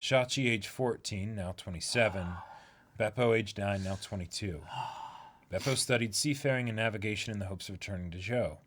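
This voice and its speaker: A man with a slightly low voice co-hosts a reading stream. He moves between reading text aloud and casual commentary, measured and explanatory throughout. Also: a bit bright. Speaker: co-host of a dramatic manga reading stream.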